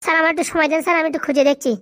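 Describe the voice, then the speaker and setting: A woman plays a fretful little schemer of a village sidekick in a comic cartoon. The voice is high and chatters extremely fast, deferential, in dialect. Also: fairly even intonation, fairly bright tone, no echo, clean studio sound. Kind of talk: comic Bengali cartoon dialogue.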